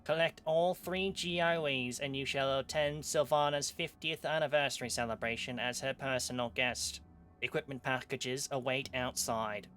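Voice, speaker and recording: A stern tournament announcer booms out each word, drawn out and deliberate, in a raised voice a bit above his usual pitch, as a man puts him on. He sounds like a game announcer over a train speaker. Faint music plays under him. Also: steady tone, fairly bright tone, lightly acted. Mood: commanding. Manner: booming, slow and deliberate.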